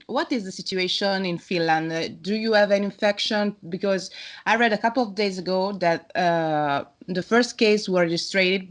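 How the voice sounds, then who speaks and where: A woman interviewer speaks at a medium pitch. She puts her questions informally, feeling her way through phrasing. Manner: hesitant non-native English phrasing.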